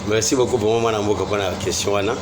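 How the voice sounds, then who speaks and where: Congolese lawyer guy talkin slow w lots of ums, lowish voice. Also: steady tone, music in the background. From Congo (Kasai Central). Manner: measured, hesitant with many fillers. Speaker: lawyer guest. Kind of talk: interview answers. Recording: clear background noise.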